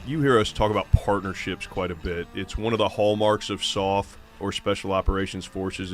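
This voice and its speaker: A man's voice: lowish voice, fairly bright tone. An American man hosting a conversational podcast interview in an informal, encouraging way. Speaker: podcast host, army sergeant major.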